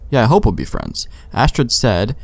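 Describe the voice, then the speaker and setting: A man's voice: medium pitch, big pitch swings, normal speed, dry recording. A man hosting a let's play with casual, sweary, joking commentary, talking back to the game's characters.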